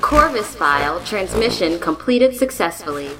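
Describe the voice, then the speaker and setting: A woman's voice: mid-range voice, animated, normal speed, natural voice, low-quality recording. A woman voicing a computer system that announces file status in a scripted audio drama. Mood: neutral, procedural. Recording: music clearly behind the voice.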